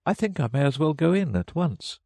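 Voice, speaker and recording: A man's voice: on the high side, sing-song, a little fast, a little mellow, no echo, clean studio sound, pitched above the performer's own voice. A man voicing a polite, curious young girl who takes offence easily. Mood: earnest. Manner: earnest, easily offended, prim.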